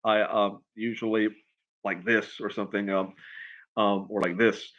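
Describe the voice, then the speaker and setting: A man chatting casually on a livestream as a writer answering questions, in a halting, rambling way, often repeating and restarting his phrases. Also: fairly low pitch, level tone, a bit bright, highs cut off. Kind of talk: casual unscripted chat, answering viewer questions.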